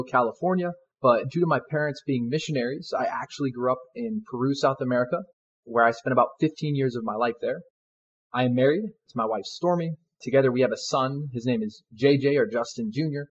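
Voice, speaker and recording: A man's voice: mid-range voice, fairly quick, slightly rough. A man in his twenties, a soldier guest answering interview questions in an earnest, polite way. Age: 20s. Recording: dull lo-fi sound.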